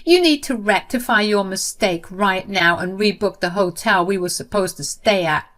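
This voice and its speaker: A woman voicing an entitled sister-in-law, shrill and frantic. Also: moderate pace, fairly bright tone, close to an everyday voice. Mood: furious.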